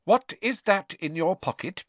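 A man voicing a brisk, snappish middle-aged British witch who mothers and scolds in an audiobook. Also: fairly high pitch, huge pitch swings, fairly bright tone, breathy edge, dry recording, pitched above the performer's own voice, heavily acted. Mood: sharp, scolding.

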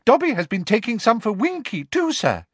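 A tiny, squeaky house elf, very high and excitable, his voice leaping up and down as he fawns over his hero. He sounds humble, eager and adoring, and the dry recording keeps him close. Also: normal speed, no room sound, heavily acted. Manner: eager, humble, speaks of himself in third person.

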